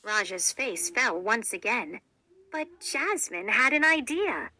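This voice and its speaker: Female storybook narrator, children's read-along, expressive, slow deliberate pacing, bright tone, dry sound. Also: on the high side.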